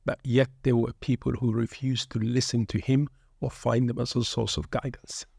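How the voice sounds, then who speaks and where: Earnest man, gravelly, flat. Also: mid-range voice, moderate pace, no echo, studio quality. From born in England, raised in Sudan. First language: Arabic. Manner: earnest, preacherly, peppered with Arabic phrases. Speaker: guest shaykh, pilgrimage group leader. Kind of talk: reflective religious podcast talk.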